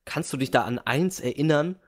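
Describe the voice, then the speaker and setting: A young man co-hosting a podcast in casual chat, easygoing, with halting restarts. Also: fairly high pitch. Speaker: podcast co-host.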